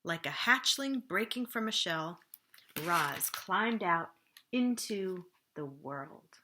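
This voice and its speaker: A woman reading a children's storybook aloud expressively, with deliberate dramatic emphasis. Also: lowish voice, animated, background noise.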